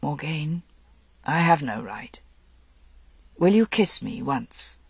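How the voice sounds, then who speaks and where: Woman doing a weary young king in a fantasy story, tender n halting, low slow voice. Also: lively intonation, husky, no echo, full character voice. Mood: anguished, hesitant. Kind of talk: dramatic audiobook storytelling.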